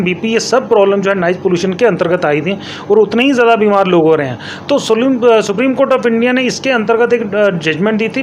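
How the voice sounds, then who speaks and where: A man's voice: sits high, slight background noise. A man answering interview questions as an elected local politician, explaining emphatically and repeating his points with English terms mixed in.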